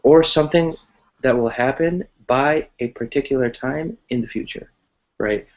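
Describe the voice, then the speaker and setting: A man with an American accent teaches English over an online call. He explains in an encouraging, conversational way and often checks that listeners follow. The sound is a little dull and muffled. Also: mid-range voice. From United States. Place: online video class.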